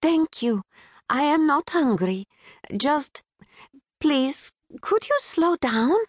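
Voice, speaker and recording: A woman's voice: sits high, animated, slow pace, no room sound, studio quality, voice pushed up. A woman voicing a timid, petite young woman with a Pakistani accent tinged with British, speaking in a hesitant, sing-song, formal way. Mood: polite. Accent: Pakistani with a British twang.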